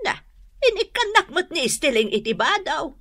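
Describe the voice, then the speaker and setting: Woman acting a high-pitched, defensive Ilocano village woman. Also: sing-song, moderate pace, fairly bright tone, dry recording, clean studio sound. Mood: offended. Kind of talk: comedic radio drama acting.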